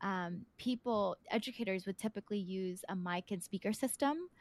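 A woman's voice: medium pitch, moderate pace, no echo. A woman hosting a podcast interview, reflective and casual, often checking in with "right?". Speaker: podcast host.

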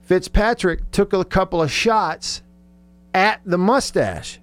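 A man's voice: high-pitched, animated, takes it slowly. A man hosting casual sports talk radio, chatty and joking as he reads out listener texts. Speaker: radio show host. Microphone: close studio radio microphone.

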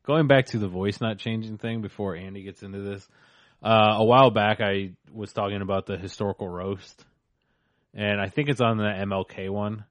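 A man's voice: lowish voice, fairly even intonation, normal speed, no echo. A man co-hosting a podcast in casual, slangy banter with some swearing. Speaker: podcast co-host.

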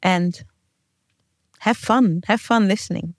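A woman's voice: mid-range voice, slow pace, no echo. Woman, earnest and emphatic podcast host, dry close sound. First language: Dutch. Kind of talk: scripted podcast intro and casual chat.

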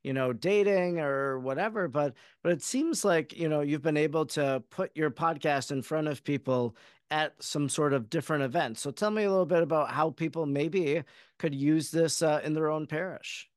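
Clear-voiced man hosting, slightly high pitch. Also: normal speed, no echo, clean studio sound. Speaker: podcast host, interviewer. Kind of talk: conversational interviewing.

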